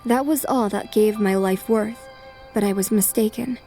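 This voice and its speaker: A woman voicing a poised, self-assured young noblewoman in an anime fan dub, formal, calm and resolute. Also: medium pitch, normal speed, faint music behind, lightly acted. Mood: calm resolve.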